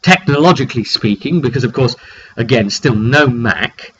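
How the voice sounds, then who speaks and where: Guy with a cold recapping his rpg session, stop-start chatty delivery, throat clearing, kinda muffled mic w background noise. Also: medium pitch, lively intonation, normal speed, a bit bright. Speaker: game master recapping his tabletop roleplay sessions. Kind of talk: casual recap storytelling to camera. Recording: dull lo-fi makeshift mic, clearly noisy.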